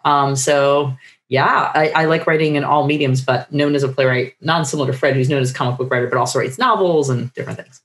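A woman in her forties, talking in a halting, loosely rambling way as an interview guest on a video call. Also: a bass voice, fairly even intonation. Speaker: guest, playwright. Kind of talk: casual interview chat. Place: home room with bookshelves, video call.

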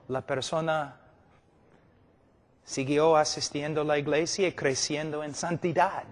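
A middle-aged man preaching a sermon at a conference, emphatic and repeating phrases for stress, telling illustrative stories. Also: high-pitched. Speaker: preacher teaching at a church conference. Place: large indoor meeting hall, speaking to a seated audience. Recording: echoey hall with long reverb.

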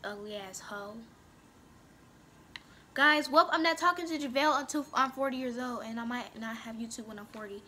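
A woman's voice: on the high side, moderate pace, pushed and bright, a little hiss or hum. A young woman casually commenting on a video game at home, bickering with her brother and swearing a lot. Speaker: gaming vlogger.

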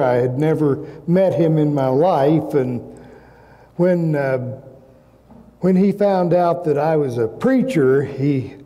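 A man in his sixties preaching a sermon as a pastor, with measured, emphatic phrasing, pauses and repetition. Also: a little high, warm tone. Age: 60s. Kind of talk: sermon with personal anecdotes. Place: large church sanctuary, indoors at a pulpit. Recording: reverberant church hall.

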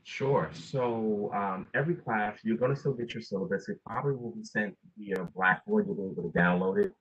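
A man's voice: lowish voice, fairly quick, fairly bright tone, breathy edge. A dean in his forties answering student questions at a virtual town hall, advising with many fillers and restarts. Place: home office. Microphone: video call with earbuds. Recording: dull, muffled video call.

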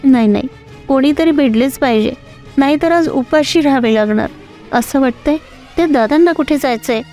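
A woman's voice: normal speed, a little mellow, soft background music, close to an everyday voice. A woman voicing a young pregnant rickshaw driver who is meek and pleading, in a cartoon story. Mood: worried. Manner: pleading, high-pitched.

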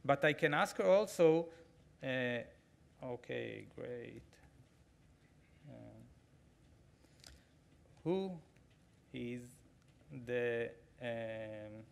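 A man in his forties with an Israeli accent, giving a keynote talk with a live demo from a podium microphone, halting with frequent fillers and restarts. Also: medium pitch, gravelly, a little hiss or hum. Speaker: conference keynote speaker. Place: large conference hall, at a podium.

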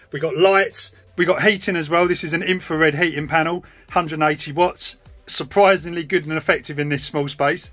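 A man's voice: fairly high pitch, a bit bright. A man, giving a casual, chatty explanatory vlog as a hobbyist presenter. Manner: informal, chatty. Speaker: radio hobby vlogger.

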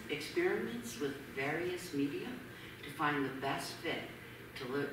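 A middle-aged woman curator introducing an artist at a gallery, with many fillers as she reads a bio aloud. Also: low-pitched. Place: large gallery hall, indoors. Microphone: handheld mic heard from far off. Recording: echoey hall recorded from far off, background noise.

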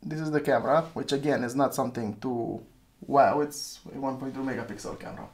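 A man's voice: mid-range voice, fairly even intonation, a little fast, a little mellow, a little hiss or hum. Chatty man reviewing an old phone. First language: Romanian. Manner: chatty, thinks aloud, laughs at own slips. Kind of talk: casual hands-on review.

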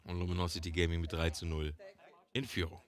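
A man's voice: low-pitched, monotone, brisk. A man casting a game alone as play-by-play commentator, chatty and addressing viewers as friends. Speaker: esports caster. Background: game gunfire and clicks.

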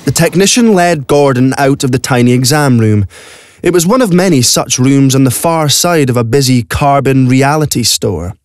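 A man narrating a scripted audio drama as a storyteller. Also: fairly high pitch. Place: recording studio. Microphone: close studio microphone.

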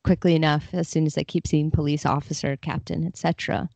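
A woman's voice: low voice, flat delivery, fast, gravelly, darkish tone, no room sound. A woman speaking casually as a guest in an interview, explaining her work. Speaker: podcast guest, literary translator.

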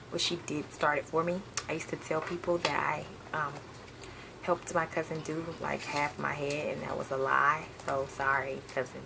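A young woman from the South, chatting casually on a home vlog, rambling with lots of ums and self-corrections. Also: low-pitched. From Southern United States. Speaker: hair vlogger. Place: bedroom, seated on a patterned couch, indoors. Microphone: webcam at desk distance. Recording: gravelly voice with clear background hiss.

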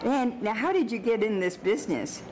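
A woman's voice: on the high side, lively intonation, normal speed. Chatty woman TV host, noisy surroundings. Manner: enthusiastic, chatty. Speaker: TV host, interviewer. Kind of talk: event interview. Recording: noisy outdoor and party ambience.

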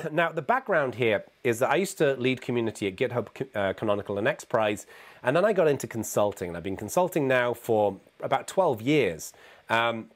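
A middle-aged man giving a conversational conference keynote from his home office, his voice gravelly and hoarse from a cold. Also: medium pitch. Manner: energetic, conversational, asks "okay?" often. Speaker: keynote speaker. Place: home office with neon sign.

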